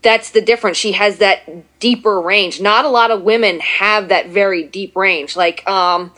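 A woman with a deeper voice for a woman, chatting at length as a guest in a casual talk. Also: unhurried, sharp tone. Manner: chatty, self-deprecating, talks at length. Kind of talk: casual chat.

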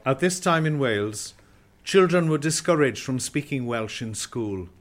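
A man's voice: mid-range voice, lively intonation, measured pace. A man reading scripted narration in a radio play.

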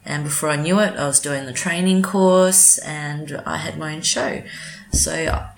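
A woman's voice: deep voice, steady tone, unhurried, gravelly, echoey room. A woman with an Australian accent, a community radio presenter chatting in an interview, hesitant, with many ums and restarts. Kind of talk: casual interview chat.

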